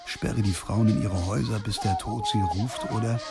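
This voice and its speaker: A gravelly, low-voiced brooding man. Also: steady tone, normal speed, over loud music. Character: gruff, brooding spare-parts dealer, reading and muttering. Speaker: lead character. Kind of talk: German radio drama acting. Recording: clear background noise.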